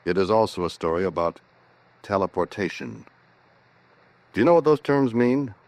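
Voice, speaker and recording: An actor playing a brooding, sardonic scientist who narrates a 1940s radio drama with halting, confiding menace. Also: male voice, low-pitched, animated, darkish tone, no echo. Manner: deliberate, halting, confiding, ominous. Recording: noisy vintage 1940s radio broadcast.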